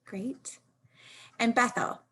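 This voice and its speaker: A woman's voice: fairly high pitch, lively intonation, slow, sharp tone, breathy edge. A woman speaking as host of an online panel discussion. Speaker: host, executive director.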